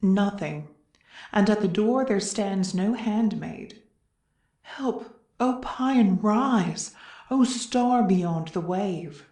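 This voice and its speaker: An anxious mourning woman of a tragic chorus played by an actress delivers solemn, imploring stage verse. Her tone is very mellow and her pace very slow, in a strongly echoing space. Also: mid-range voice, animated. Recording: echoey room.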